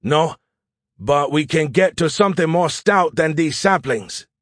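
A man's voice: a little high, animated, measured pace, dry recording, studio quality, voice pushed up. Man playing a tense, commanding military officer. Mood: firm, urgent. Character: commanding, tense military officer under fire, terse and steady. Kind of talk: audiobook character acting.

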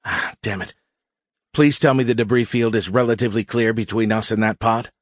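A man voicing a calm, wry female colonel in an audiobook, with a dry, measured, authoritative delivery. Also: on the low side, no echo, close to an everyday voice. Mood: exasperated.

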